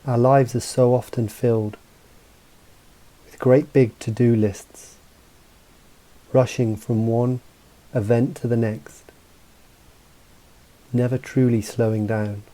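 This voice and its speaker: A man guiding a mindfulness meditation as a coach, speaking in a calm, measured, instructive way with pauses between phrases. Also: medium pitch, poor audio. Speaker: meditation guide and mental coach. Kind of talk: guided mindfulness meditation narration.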